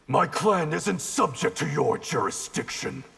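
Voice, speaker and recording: Cold clan leader, defiant, very creaky growl, animated pitch, fighting game dialogue. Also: male voice, unhurried, exaggerated voice. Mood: cold, menacing. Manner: menacing, haughty. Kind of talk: video game character dialogue.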